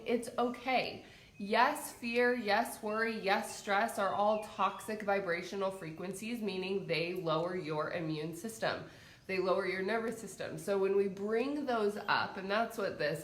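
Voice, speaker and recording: A woman with a stuffy head cold who hosts as a psychic and talks in a gentle, soothing way. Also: medium pitch, echoey room. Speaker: psychic and meditation host. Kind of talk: casual livestream talk.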